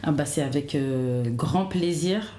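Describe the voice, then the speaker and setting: A woman's voice: deep voice, a little slow, dark tone, roomy sound, slight background noise. A woman hosting a casual podcast interview. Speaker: podcast host.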